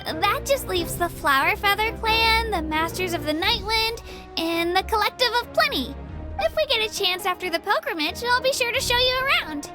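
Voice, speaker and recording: A sweet young girl in a video game, earnest and a little unsure of herself. The voice is tiny and very high, rising and falling with animation, with music clearly playing underneath. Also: animated, normal speed. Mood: cheery, eager. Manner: earnest, cheery, childlike.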